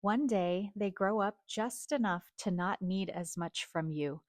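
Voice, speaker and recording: A woman in her forties whose first language is Chinese, hosting a reflective personal monologue in a calm, earnest, measured way. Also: medium pitch. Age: 40s. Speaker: host.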